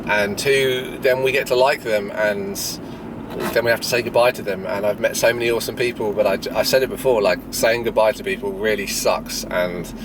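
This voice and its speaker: A man in his thirties talks casually as a travel vlogger. His voice sits fairly low and a little distant from the microphone, with clear engine and road noise around him. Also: fairly even intonation, normal speed. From Jersey, Channel Islands. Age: 30s. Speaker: travel vlog host. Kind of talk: casual question-and-answer chat while driving. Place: in a vehicle driving outdoors. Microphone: action or small camera in the vehicle. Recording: noisy moving vehicle, voice off the mic.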